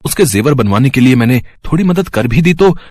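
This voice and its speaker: A man playing a young city husband in an animated moral story, instructing and reproachful. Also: mid-range voice, brisk, lightly acted. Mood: matter-of-fact.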